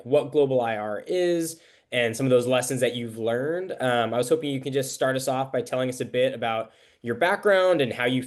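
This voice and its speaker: A young man interviewing a guest on a podcast in a conversational style, speaking as the host. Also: medium pitch.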